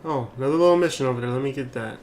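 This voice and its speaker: A man's voice: mid-range voice, racing, darkish tone. A man doing casual gameplay commentary as a streamer, rambling and thinking aloud. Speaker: game streamer. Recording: clear background noise.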